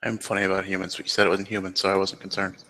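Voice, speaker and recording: A man's voice: low-pitched, little pitch movement, racing, pushed and bright. A man playing a blunt, brooding, monstrous male character who talks in terse, probing lines. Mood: cool, unconcerned. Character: blunt, brooding, sunken-eyed monstrous man, coolly unconcerned.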